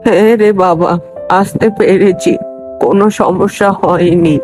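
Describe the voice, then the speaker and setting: Woman acting elderly cartoon aunt, lowered voice, unhurried, motherly, patient, music underneath. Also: fairly even intonation, lightly acted. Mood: kindly. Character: gentle elderly village aunt, kindly and patient.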